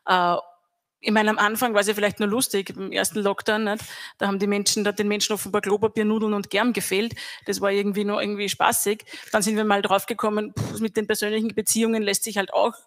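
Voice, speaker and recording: A middle-aged woman with an Austrian accent speaking as a guest on a stage panel into a handheld mic, measured and reflective. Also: medium pitch. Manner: measured, reflective, even delivery. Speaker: panel guest, academy head. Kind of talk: panel discussion.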